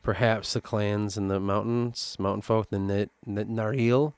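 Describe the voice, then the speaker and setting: A man with a Midwestern accent reading through his own fantasy lore notes and thinking aloud, halting and restarting as he goes. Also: on the low side, steady tone, a little mellow, clean tone, no room sound. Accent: Midwestern American. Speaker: worldbuilding writer.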